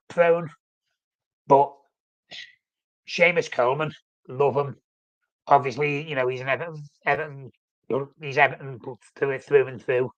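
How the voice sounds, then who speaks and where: A man's voice: medium pitch, dry recording, highs cut off. Snuffly man chatting on a live stream. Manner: rambling, self-correcting, reads out comments. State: snuffly with a flu. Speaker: fan channel host. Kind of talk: casual live-stream football chat.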